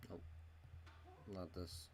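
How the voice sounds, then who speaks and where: A man's voice: very slow, background noise. A man streaming, talking offhandedly to himself as he picks a video to react to.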